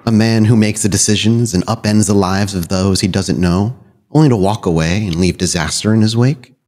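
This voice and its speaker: A man's voice: on the low side, normal speed, darkish tone, lightly acted. A man voicing a dead vampire sire speaking from a mirror in a tabletop roleplay, needling and grandiose, pressing with rhetorical questions. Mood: goading, grand.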